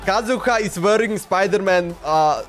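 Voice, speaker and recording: Guy streamer w a high pitched voice, slow slangy reaction chat, repeats himself, bit of music behind. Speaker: livestreamer. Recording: background music under voice.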